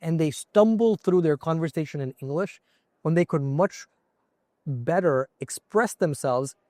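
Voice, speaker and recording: A man's voice: fairly high pitch, unhurried, a little mellow, dry recording, studio quality. A man from India, a company CEO, answering interview questions in an earnest, explanatory way with examples. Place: conference floor.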